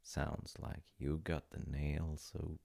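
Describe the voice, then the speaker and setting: A man playing a gentle, playful dad, telling a bedtime folk tale in a husky, gravelly voice with unhurried pauses and soft asides. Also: extremely low pitch, little pitch movement. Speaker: audio roleplay voice actor playing a dad. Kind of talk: intimate comforting roleplay, improvised-sounding bedtime storytelling.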